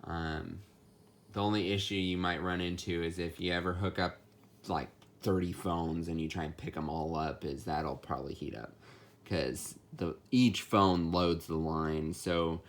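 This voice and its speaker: A man's voice: low voice, fairly even intonation, unhurried. A man giving a casual, unscripted technical walkthrough in a matter-of-fact, explanatory way, as a hobbyist showing equipment. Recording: noticeable background noise with clicks.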